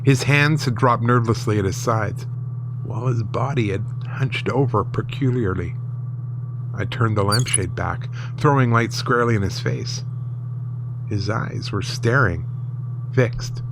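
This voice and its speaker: A man giving measured, solemn first-person narration of a horror story in a dramatic scripted style. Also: fairly low pitch, noisy background.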